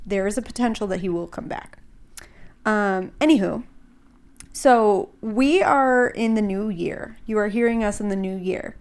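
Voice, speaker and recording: A young woman hosts a podcast in loose, casual chat, her voice a little stuffed up and congested. She rambles along with plenty of fillers and "like"s, at a middle pitch. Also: measured pace, a little mellow. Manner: chatty, rambling with many fillers and likes. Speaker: podcast host.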